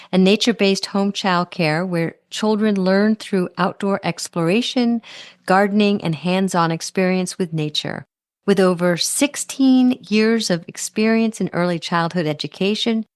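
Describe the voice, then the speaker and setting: A woman's voice: no echo, studio quality. A middle-aged woman hosting a podcast, reading a guest introduction and then chatting with enthusiasm. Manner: enthusiastic, with sudden high lifts in pitch. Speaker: podcast host.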